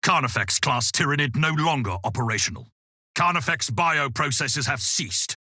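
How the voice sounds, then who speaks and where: An actor playing a disciplined space marine tactician, clipped and technical, in a video game. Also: male voice, mid-range voice, expressive, moderate pace, sharp tone, slightly rough, no echo, studio quality, full character voice. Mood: clinical. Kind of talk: video game combat barks.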